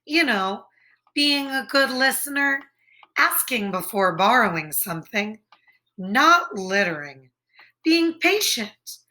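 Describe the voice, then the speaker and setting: A woman teacher reading a children's picture book aloud, expressive and deliberate, speaking directly to her young listeners. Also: a little high.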